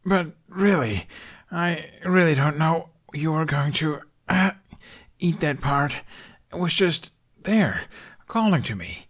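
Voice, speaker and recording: A man voicing a scrawny teenage boy who rides dragons, playful and grumbling, with a halting, whiny delivery, in an audiobook. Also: a little high, animated, pitched above the performer's own voice. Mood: sheepish.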